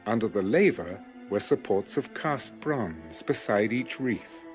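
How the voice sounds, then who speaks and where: A man reading scripture as an audiobook narrator in a measured, formal manner. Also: fairly low pitch, animated, faint music behind.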